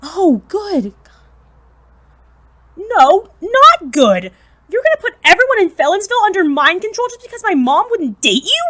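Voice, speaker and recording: A very high, swooping young voice for a plucky student hero in an audio drama, played by a woman. The hero is flustered, blunt and incredulous, blurting out indignant lines. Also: very animated, unhurried, pushed and bright, heavily acted. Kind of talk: scripted audio drama acting.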